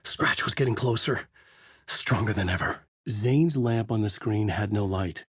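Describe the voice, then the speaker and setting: A man narrating a dark thriller game as a brooding, haunted writer, in a deliberate, literary, dramatic delivery. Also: on the low side, breathy edge, no echo, studio quality. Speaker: voice actor playing a writer protagonist who narrates.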